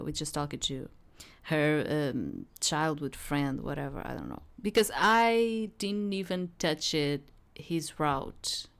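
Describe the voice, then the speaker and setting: A woman reading a visual novel aloud as a let's play, informal, with hesitant casual asides. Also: low-pitched. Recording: game music behind the voice.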